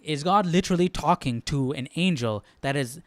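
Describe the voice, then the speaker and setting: A man's voice: fairly high pitch, normal speed, dry recording. A man hosting a Bible study livestream, talking casually and thinking aloud in questions. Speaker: host of a live Bible study.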